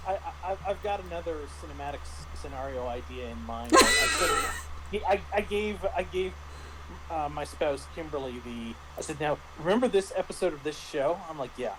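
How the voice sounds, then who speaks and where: A man speaking casually and haltingly as a guest game master, often repeating words as he explains. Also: fairly high pitch, animated. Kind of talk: casual chat reviewing a tabletop game. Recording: clearly noisy ambient background.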